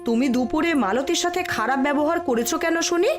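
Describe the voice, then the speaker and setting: Woman acting a high-voiced, scolding cartoon wife. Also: fast, over music, close to an everyday voice. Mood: scolding, warning. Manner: nagging, reproachful. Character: stern, scolding wife of a proud shopkeeper.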